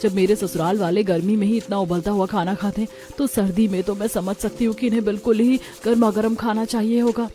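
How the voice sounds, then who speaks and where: A woman's voice: medium pitch, fairly even intonation, a little fast, few pauses, warm tone, soft background music, lightly acted. A woman voicing a hardworking, patient young daughter-in-law in a narrated story. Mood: weary. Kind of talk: acted dialogue in a narrated story.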